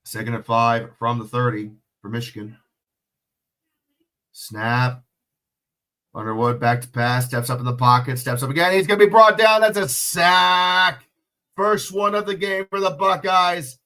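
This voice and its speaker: A man's voice: mid-range voice, steady tone, a little slow, sharp tone. A sick, sniffly man doing casual solo play-by-play of a football game, swearing and chatting with viewers. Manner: swearing, chatty, answering chat questions. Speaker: livestream play-by-play commentator.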